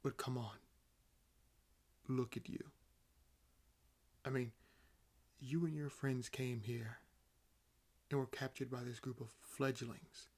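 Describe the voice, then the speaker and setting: Ancient male vampire, acted by a man, unhurried and even-toned, nonchalant, condescendingly amused, for roleplay audio. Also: medium pitch. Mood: amused, dismissive. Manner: unhurried, wry, theatrical pauses. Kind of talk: roleplay audio acting, addressing the listener.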